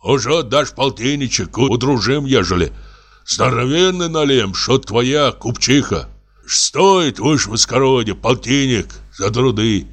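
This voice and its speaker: Peasant carpenter, voiced by a male reader: gruff manner, cheeky, brusque, folksy, very animated pitch. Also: a little slow. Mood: haggling, grumbling.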